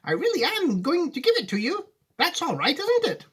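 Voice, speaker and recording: Guy doing excitable bossy old factory owner from a storybook, high emphatic voice, swoops about. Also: normal speed, a little hiss or hum, full character voice. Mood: delighted, solemn. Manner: emphatic, exclamatory. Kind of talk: storybook read aloud.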